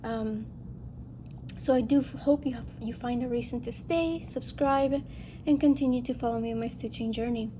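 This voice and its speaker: Nasal, higher-pitched woman chatting casually. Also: normal speed, dark tone, poor audio. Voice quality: nasal, congested. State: getting over a respiratory illness. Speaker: host of a cross-stitch video channel. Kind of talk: casual chat to viewers, craft show-and-tell. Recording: noticeable background noise.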